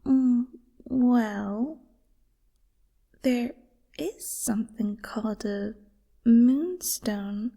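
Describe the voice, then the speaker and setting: A woman's voice: a little high, drawn-out words, gravelly, pauses often, mellow, no room sound, heavily acted. A woman playing an affectionate, playful and protective werewolf girlfriend in an intimate audio roleplay, tender and teasing, often murmuring or whispering. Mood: casual, curious.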